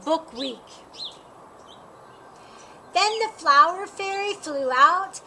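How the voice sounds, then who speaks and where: A woman reads a picture book aloud for children in a high voice, taking her time over each phrase. Her delivery is expressive and dramatic. The recording is clearly noisy, with birds chirping behind her. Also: drawn-out words. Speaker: storytime reader.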